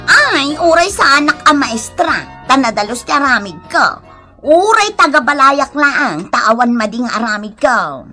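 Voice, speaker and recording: A woman with a northern Philippine accent playing a nosy, sharp-tongued housewife in a comedy radio drama. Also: high-pitched, big pitch swings, unhurried, soft background music, heavily acted. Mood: grumbling. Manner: gossipy, sardonic, exclamatory.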